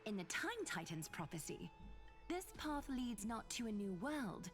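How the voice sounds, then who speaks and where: Solemn prophecy-teller, game character, earnest, animated intonation. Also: female voice, medium pitch, unhurried, faint music behind.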